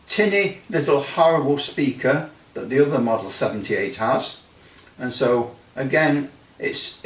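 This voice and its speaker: A man giving a casual, rambling hands-on product review as a hobbyist, hesitating and repeating phrases for emphasis. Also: mid-range voice. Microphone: microphone some distance from the speaker. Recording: roomy echo, distant microphone.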